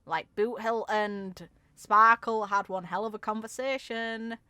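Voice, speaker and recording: Casting: female game content creator, casual musing commentary, mid-pitched and bright, very dry sound. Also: steady tone, unhurried, smooth, no echo. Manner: informal, musing aloud.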